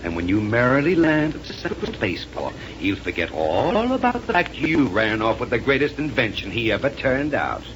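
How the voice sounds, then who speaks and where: An actor playing a smooth, menacing, condescending villain in a 1930s science-fiction radio serial, mocking and theatrical. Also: male voice, mid-range voice, big pitch swings, moderate pace, few pauses, close to an everyday voice. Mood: mocking, accusing. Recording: noisy vintage 1930s radio recording.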